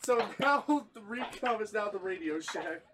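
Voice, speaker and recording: A man's voice: high-pitched, normal speed, noisy background. A young man casually reviewing his gadgets with friends, giggly and easily breaking into laughter. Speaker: video host, hobby radio collector.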